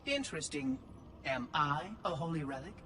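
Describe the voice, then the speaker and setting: An actor voicing a prim, inquisitive ancient machine oracle in a video game, precise and fussy in speech. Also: male voice, fairly high pitch, big pitch swings, normal speed. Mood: cool, pedantic. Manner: precise, articulate, probing, fussy. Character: prim, inquisitive ancient robot oracle, male, coolly pedantic. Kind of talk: video game cutscene dialogue. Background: music.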